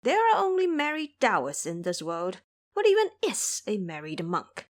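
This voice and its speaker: A woman playing a brash, bossy male monkey disciple with a sharp, scolding, emphatic manner in an audio drama. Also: mid-range voice, huge pitch swings, normal speed. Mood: mocking, bossy.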